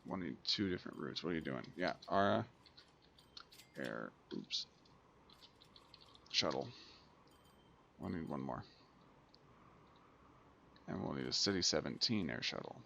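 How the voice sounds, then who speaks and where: A man's voice: rumbling low, expressive, a little slow, creaky, long pauses, pushed and bright, slight background noise. A man giving casual let's play commentary as a gaming host, thinking aloud and muttering to himself.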